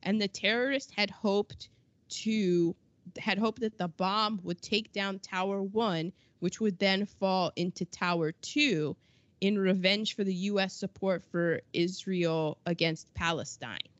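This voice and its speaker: A woman's voice: medium pitch, unhurried, no echo, lo-fi sound. A woman in her forties co-hosting a podcast, casually running through past events from her notes and acting out little quotes. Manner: chatty, quips and acts out quotes. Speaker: podcast co-host. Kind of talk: casual chat reading out history notes.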